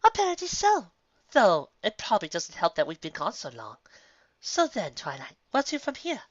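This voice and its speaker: A woman's voice: mid-range voice, huge pitch swings, normal speed, dry recording, muffled recording, pitched above the performer's own voice. A woman voicing a refined, ladylike young female pony in a cartoon fan story, with a genteel, arch manner. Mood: composed, curious.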